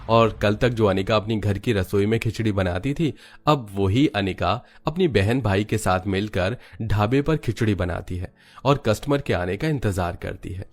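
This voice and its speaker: A man reading scripted narration for a cartoon story as its storyteller. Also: fairly low pitch.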